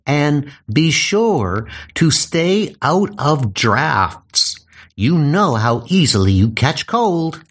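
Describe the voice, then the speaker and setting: A woman's voice: deep voice, sing-song, very slow, breathy edge, heavily acted. A woman playing a caring, fussy, mothering nurse in a comic audio drama. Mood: fussing. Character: fussy, caring female nurse to a frail doctor.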